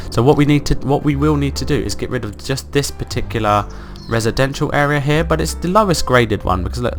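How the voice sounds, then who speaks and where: A man with a croaky voice from being ill, hosting casual gameplay commentary and thinking aloud in an upbeat, rambling way. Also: lowish voice, fairly bright tone. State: croaky from illness. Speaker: let's play host.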